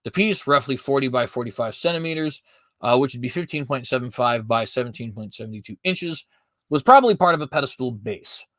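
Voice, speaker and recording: Male host, mid-pitched voice, dry close recording, reads notes and corrects himself often. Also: animated, brisk, fairly bright tone, no room sound. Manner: reads prepared text, frequent fillers and self-corrections. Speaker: podcast host. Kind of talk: apologetics commentary reading notes.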